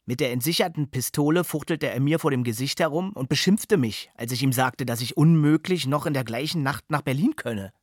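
A man's voice: mid-range voice, fairly quick, hardly any pauses, no echo, lightly acted. Actor as sober male witness, dry recording. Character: male acquaintance soberly recalling a dangerous night. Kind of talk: dramatised memoir reading in a documentary.

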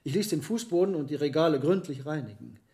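An actor playing a learned, hesitant medieval monk herbalist in a radio drama, speaking in a deliberate, musing way. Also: male voice, a little high, animated, dark tone. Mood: troubled recounting. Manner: deliberate, musing, with reflective pauses. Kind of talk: German radio drama acting.

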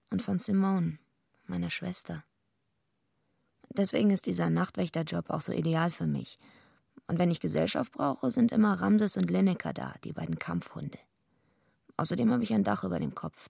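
A husky, heavily creaking tough wrestling villainess tells her story in a radio play. She is blunt and deadpan, a gruff loner with no time for niceties. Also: lowish voice, no echo, studio quality, exaggerated voice. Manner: blunt, deadpan first-person narration. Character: tough, gruff female wrestler villain and lonely night watchwoman. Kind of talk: radio play narration and dialogue.

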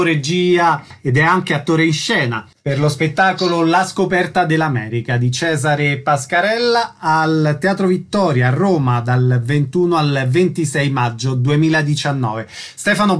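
A man's voice: fairly high pitch, measured pace, few pauses. A man hosting an arts interview on the radio, asking questions and presenting events.

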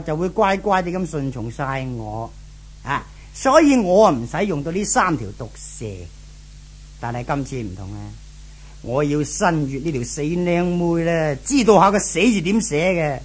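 A menacing brothel boss in a seventies Hong Kong Cantonese radio serial. The delivery is sneering and theatrical, swinging widely in pitch. Grunts and sharp questioning barks punctuate the bullying. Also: male voice, sing-song, moderate pace. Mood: angry scheming. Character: cruel, menacing brothel boss who bullies captives. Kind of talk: 1970s radio drama acting.

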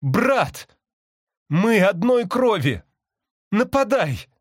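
Guy doing a shrill fierce girl magpie in an audiobook, bossy and desperate, way up high. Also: sing-song, drawn-out words, no echo, clean studio sound, full character voice. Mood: urgent, commanding. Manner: shrill, urgent, exclamatory crying out. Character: fierce, bloodthirsty young female magpie, desperate and commanding. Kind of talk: dramatized audiobook character.

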